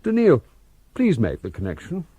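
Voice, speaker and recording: An actor playing an eager, courteous scientist in a radio drama, effusive and formal. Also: male voice, a little high, huge pitch swings, normal speed, dark tone, slightly rough, no room sound, heavily acted. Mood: welcoming, eager. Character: eager, courteous male scientist heading a murder investigation. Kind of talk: old-time radio drama acting.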